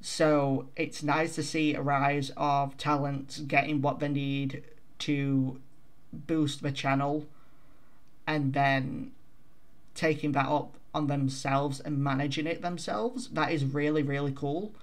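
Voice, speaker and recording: A young man talks to his viewers in an unhurried, meandering way, mocking himself with lots of ums and asides. His voice sits a little high for a man. He speaks into a desk microphone at home. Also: steady tone. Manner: self-deprecating, chatty, many fillers. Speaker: vtuber channel host. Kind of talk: casual rambling update to viewers.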